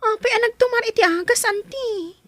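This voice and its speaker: A woman's voice: very high voice, a little fast, a bit bright, no echo. A woman acting a troubled young girl in an Ilocano audio drama. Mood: concerned, curious. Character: troubled young girl asking after her sick mother. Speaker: actor in a drama.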